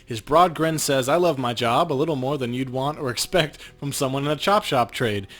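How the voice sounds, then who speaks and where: A man's voice: mid-range voice, moderate pace, a bit bright. A man co-hosting a let's play, reading game text as narration and adding casual comments. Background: game music.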